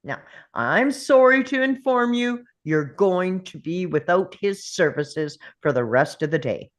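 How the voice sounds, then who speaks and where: A woman playing a bullying, sarcastic male school principal in a script read, taunting with drawn-out contempt. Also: lowish voice, lively intonation. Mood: curt, sardonic. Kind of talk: table read of a film script.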